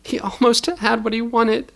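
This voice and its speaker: A man's voice: very high voice, level tone, measured pace, vocal fry, fairly bright tone, voice pushed up, exaggerated voice. A man voicing a grieving, defiant young girl in an audio story, pleading and indignant. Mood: indignant grief. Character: desperate, grieving, defiant teenage girl rebel.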